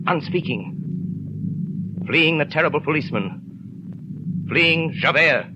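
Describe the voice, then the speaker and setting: A man narrates a 1930s radio drama in a somewhat high voice, with suspenseful, deliberate phrasing. The recording is dull and noisy.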